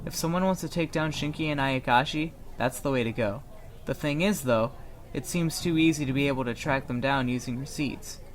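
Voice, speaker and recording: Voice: medium pitch, fairly quick, hardly any pauses, lightly acted. A performer voicing an easygoing, encouraging firearms mentor in a fan-made anime audio drama, talking casually and frankly. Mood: candid, relaxed.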